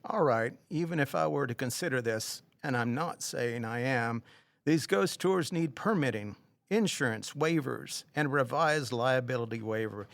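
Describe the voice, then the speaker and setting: An actor playing a reluctant, fussy, rule-minded tour company boss in an audio drama, with a skeptical, formal manner. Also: male voice, medium pitch, normal speed, vocal fry, fairly bright tone, dry recording. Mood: dismissive.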